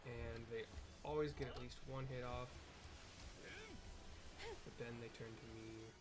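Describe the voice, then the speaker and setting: A man's voice: mid-range voice, fairly even intonation, unhurried, over music. A man rambling casually as he narrates his gameplay, drifting into side topics. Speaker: gameplay streamer narrating a game.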